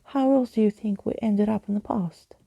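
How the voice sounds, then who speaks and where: Woman voicing a pompous, fretful skeleton gentleman, very creaky. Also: medium pitch, normal speed, dry recording, highs cut off, pitched above the performer's own voice. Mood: indignant. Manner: wordy, pompous, indignant. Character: fretful, pompous male living skeleton, old-fashioned gentleman.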